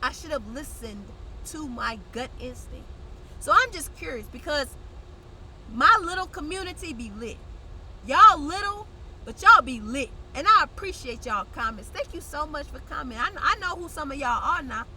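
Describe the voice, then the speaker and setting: A woman's voice: high voice, expressive, normal speed, noisy background. A young woman vlogging in a blunt, slangy, conversational way, sounding congested and nasal. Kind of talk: casual direct-to-camera chat.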